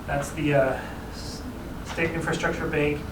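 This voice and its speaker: A man's voice: fairly high pitch, little pitch movement, measured pace. A man from a regional planning agency, briefing a city council in an easygoing, explanatory way. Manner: explanatory, easygoing, chuckles at own asides. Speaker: regional transportation planning staff presenter. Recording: echoey chamber, distant mic, clear background noise.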